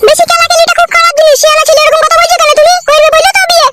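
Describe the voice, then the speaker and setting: A man voicing a coaxing middle-aged mother in a comedy cartoon, with a Bengali accent and a shrill, pitch-shifted voice. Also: level tone, rapid-fire, very noisy.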